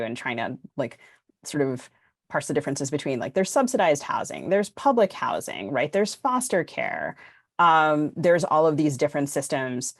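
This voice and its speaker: American female podcast host, extremely low pitch, close dry sound, reflective and tentative in casual discussion. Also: slightly rough, no room sound.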